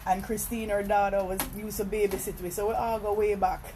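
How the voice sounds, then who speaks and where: A woman from Jamaica with a Jamaican accent, chatting casually on a phone live stream at a seafood restaurant and breaking off her stories to give viewers shout-outs. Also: mid-range voice, fairly even intonation, normal speed, noisy background. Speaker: vlogger.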